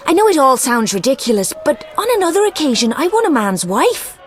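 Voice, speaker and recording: A woman's voice: high-pitched, sing-song, a little fast, faint music behind. An actress playing a flirtatious, superstitious lady gambler in a video game, with a florid, coquettish and dramatic delivery. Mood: dramatic, troubled.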